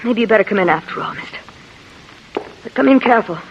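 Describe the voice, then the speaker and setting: A woman's voice: fairly high pitch, lively intonation, fast. A woman playing a tough, suspicious, sardonic gun-toting dame in a 1940s radio crime drama, curt and wisecracking. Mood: suspicious, hostile. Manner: hard-boiled, curt, wisecracking. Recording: muffled, noisy vintage radio recording.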